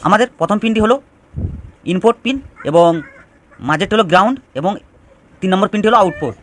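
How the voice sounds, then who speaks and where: A man's voice: high voice, fast, fairly bright tone, slight background noise. A man giving an informal step-by-step DIY electronics tutorial, explaining and restating phrases as he goes.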